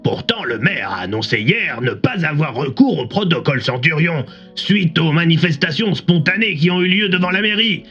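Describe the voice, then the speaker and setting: An actor playing a radio presenter questioning a guest in an audio drama, in an animated manner. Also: male voice, a little high, normal speed, dull audio, faint music behind.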